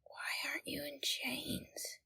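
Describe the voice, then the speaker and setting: Lady doing a frightened captive girl in audio rp, hushed n hesitant, really slow, voice super creaky and breathy. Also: sits high, wildly swooping pitch, no echo, in a whisper, exaggerated voice. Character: timid, frightened young female captive, pleading. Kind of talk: scripted audio roleplay, ASMR.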